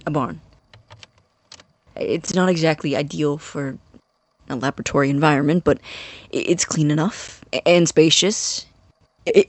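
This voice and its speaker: Actor doing young secretive science student, eager n earnest, kinda halting, audio drama, dry sound. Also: mid-range voice, dry recording, lightly acted.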